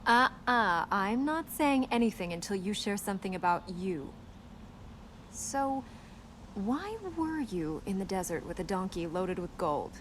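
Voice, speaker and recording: Casting: resolute young princess for a video game, mid-range voice, guarded and dryly witty, some background noise. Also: female voice, medium pitch, big pitch swings, unhurried. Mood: challenging, wry. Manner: serious, guarded, dryly sarcastic. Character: earnest, determined young princess with dry wit. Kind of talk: video game voice acting, conversational banter.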